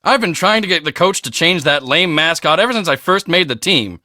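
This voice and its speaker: A teenage jock for a video game. His voice is high for a male and very sharp and forceful, with a guarded manner and a dry, close sound. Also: animated, brisk, no room sound. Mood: casual, curt. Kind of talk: scripted video game voice lines.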